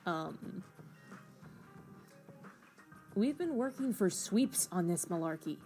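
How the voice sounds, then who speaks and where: A woman's voice: mid-range voice, lively intonation, measured pace, warm tone, faint music behind. A woman voicing a disillusioned, grumbling schemer in a comic audio drama, ranting in exasperation. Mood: indignant. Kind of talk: hobby voiced comic drama.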